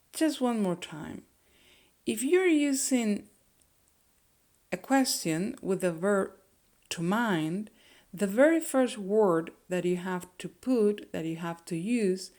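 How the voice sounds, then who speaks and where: Slow, gravelly woman teacher. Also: medium pitch, a little mellow. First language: Spanish. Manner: slow and deliberate, checks in with "okay?". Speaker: Spanish teacher. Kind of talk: language lesson.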